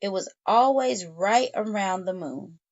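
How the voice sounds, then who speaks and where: A slightly dull, lo-fi recording of a woman reading a children's picture book aloud. Her voice sits at a medium pitch for a woman. She narrates expressively, with deliberate phrasing and stretched emphasis meant for young listeners. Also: darkish tone. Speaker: children's story reader.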